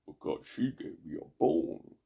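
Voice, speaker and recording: A doleful storybook dog, acted by a man, with a rough, husky voice. Its complaint comes out very slowly and heavily drawn out. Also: fairly low pitch, wildly swooping pitch, mellow, heavily acted. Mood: aggrieved. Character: guard dog, neglected, dolefully complaining hound.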